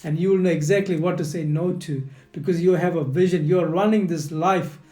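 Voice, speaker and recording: A man's voice: a little high, steady tone, fairly quick. A man whose first language is not English, giving an earnest motivational leadership lesson and speaking straight to the listener with lots of repetition. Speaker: leadership coach. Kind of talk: motivational teaching talk. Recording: echoey room with soft guitar music.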